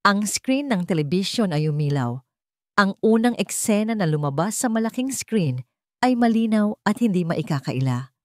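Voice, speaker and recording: A woman's voice: mid-range voice, lively intonation, normal speed, a little mellow, no echo, studio quality. A woman narrator reading a dramatic scripted story.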